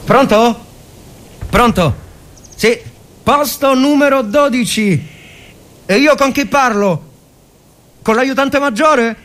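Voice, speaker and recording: An actor playing a bitter, anguished Italian officer of the First World War in a dramatic monologue, halting and repeating words. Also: male voice, extremely high pitch, fairly bright tone, heavily acted. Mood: agitated, pleading. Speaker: actor reading a short story. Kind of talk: dramatic literary monologue, radio reading.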